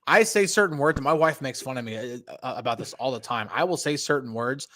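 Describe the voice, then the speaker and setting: A man's voice: mid-range voice, fast, a bit bright, no echo. A man from northern New Jersey co-hosting a sports podcast, talking casually and rambling with many fillers.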